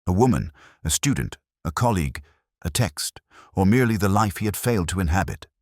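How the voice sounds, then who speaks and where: A man reading a literary detective story in the first person, with a measured, solemn and reflective delivery. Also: low voice, lively intonation, darkish tone, no echo, studio quality. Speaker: audiobook narrator.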